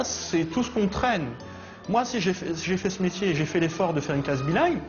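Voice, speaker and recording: A man from Réunion with a fairly high voice that swings up and down a great deal. He speaks with earnest passion about his work as a teacher. The sound is slightly muffled, with clear music running underneath. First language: Réunion Creole. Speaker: schoolteacher. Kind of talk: documentary interview.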